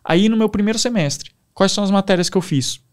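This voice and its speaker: A young man with a Brazilian Portuguese accent, a podcast guest telling stories in a casual, animated way. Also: high-pitched, fast, clear, resonant tone. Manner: animated, enthusiastic storyteller. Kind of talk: casual storytelling.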